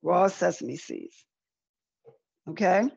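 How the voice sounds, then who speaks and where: A woman's voice: fairly low pitch, huge pitch swings, slow pace, gravelly, darkish tone, a little hiss or hum. Middle-aged woman demonstrating, dull call audio. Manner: chatty, repeats phrases for emphasis. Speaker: cooking demonstrator. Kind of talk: live online cooking demonstration, conversational teaching. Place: indoor room with folding screen, demo table.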